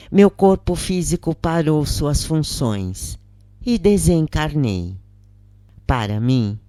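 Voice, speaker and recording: A Brazilian woman narrating a first-person story aloud with measured, dramatic phrasing. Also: low-pitched, dark tone, no echo.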